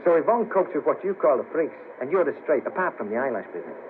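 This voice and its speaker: A man's voice: fairly high pitch, very animated, quick pace. An actor playing a wry, friendly, down-on-his-luck ex band manager in a 1970s radio play, teasing and fond of sayings. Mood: amused, curious. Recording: noisy patchy 1970s radio cassette, narrow band.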